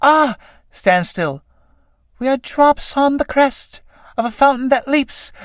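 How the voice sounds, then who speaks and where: A woman's voice: sits high, expressive, no echo. A woman as an incantatory, ecstatic moth, dry sound. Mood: rapturous. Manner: incantatory, drawn-out declaiming. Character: ecstatic, short-lived female moths dancing into light. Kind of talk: poetic stage-play verse.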